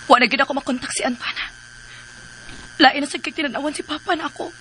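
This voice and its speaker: A woman's voice: high voice, quick pace, sharp tone, slight background noise. An actress playing an anxious, lovelorn young woman with a Filipino accent in a radio drama. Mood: worried.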